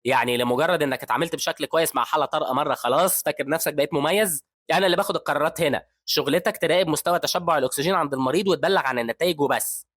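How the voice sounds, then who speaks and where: A man with an Egyptian accent voicing an arrogant, hot-tempered older male surgery professor who shouts with scorn. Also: fairly high pitch, very fast, few pauses, no room sound, voice pushed up. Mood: scornful. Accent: Egyptian Arabic.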